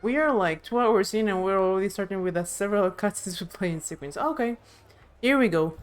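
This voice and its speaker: A woman's voice: mid-range voice, a little fast. A woman chatting casually as she streams a game live.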